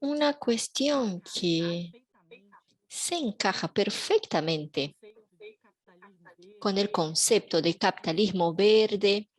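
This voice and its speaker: A woman interpreting a panel talk in a measured, even way over a video call. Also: mid-range voice. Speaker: simultaneous interpreter.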